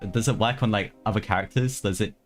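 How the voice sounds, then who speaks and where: A man's voice: on the low side, steady tone, quick pace. Casual male streamer over faint game music. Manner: slangy, grumbling, talks back to characters. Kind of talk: casual live gameplay commentary.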